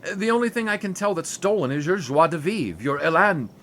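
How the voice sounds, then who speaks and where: An actor playing a sarcastic 1920s sports writer, a jilted lover who tosses off quick wisecracks and bits of French. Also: male voice, on the high side, expressive, a bit bright, slight background noise. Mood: hurt. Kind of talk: 1920s period audio drama.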